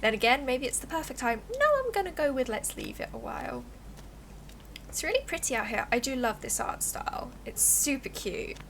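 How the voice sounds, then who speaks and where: A woman's voice: a little high, sing-song, brisk, creaky, fairly bright tone, a little hiss or hum. A woman with a posh British accent livestreaming a game, commenting playfully and gushing as she reads along. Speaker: game streamer. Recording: background music behind voice.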